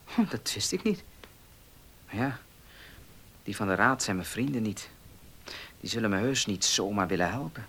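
An actor playing an earnest, proud young farmer in a radio play, husky and plain-spoken, with emotional delivery. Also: male voice, fairly low pitch, animated, moderate pace, long pauses, a bit bright, heavily acted. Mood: troubled, bitter. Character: earnest young horse-loving farmer, proud, short of money. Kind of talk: Dutch radio play acting.

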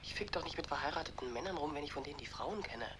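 An actress in a German radio drama playing a brash, worldly best friend, blunt and offhand, heard as a distant telephone voice. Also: female voice, sits low, lively intonation, racing, fairly bright tone, slight background noise. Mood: offhand, defensive.

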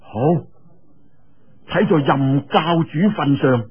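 A man voicing a commanding, grudging masked villain leader in a martial arts audiobook, speaking in measured orders. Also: a little high, husky, heavily acted. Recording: dull, lo-fi radio-like audio.

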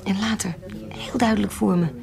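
An actress playing an anxious, scheming former baroness with second sight in a historical radio drama, grave and urgent. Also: female voice, medium pitch, steady tone, moderate pace, gravelly, a little mellow, natural voice. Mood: grave, pleading.

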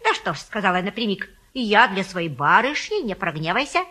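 An actress playing a garrulous, folksy old Russian matchmaker in a stage comedy, coaxing and boasting theatrically. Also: female voice, mid-range voice, very animated, fairly quick, pushed and bright. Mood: breathless complaining. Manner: folksy, garrulous, effusive, sing-song coaxing. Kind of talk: theatrical stage-comedy acting of a classic play.

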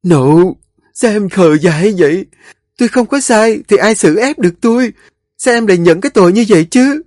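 A man's voice: mid-range voice, very animated, normal speed, a little mellow, husky, no room sound, studio quality. A man voicing a desperate young wife who pleads and sobs for mercy. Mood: sobbing. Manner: sobbing pleading.

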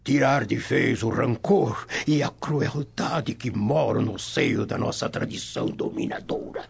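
Grave old roman noble, very creaky and slow, dull radio drama audio. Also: male voice, medium pitch. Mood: solemn reproach.

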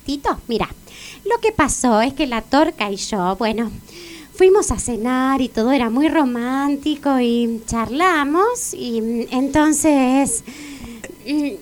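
A woman's voice: sits high, expressive, slow. An actress with an Argentine accent playing a coy, scatterbrained young girlfriend with a naive manner in a comic radio drama. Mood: coy, hesitant. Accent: Argentine Spanish.

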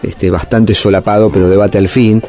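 A man's voice: fairly low pitch, flat delivery, normal speed, warm tone. A man, a guest analyst in a radio interview, talking thoughtfully with many fillers and hedges.

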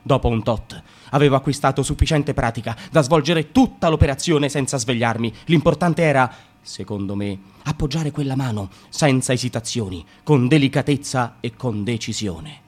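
An excitable young storyteller in a comic radio play, acted by a man, with a mid-range voice. He drops into hushed asides and then rushes into frantic retelling. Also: mid-range voice, lightly acted. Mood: wry. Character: young man recalling a clumsy adolescence.

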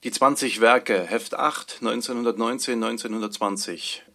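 An actor playing a matter-of-fact archivist, announcing catalogue entries in a measured, neutral radio-play delivery. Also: male voice, medium pitch, vocal fry, pushed and bright. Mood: neutral, formal. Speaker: announcer of manuscript titles and dates.

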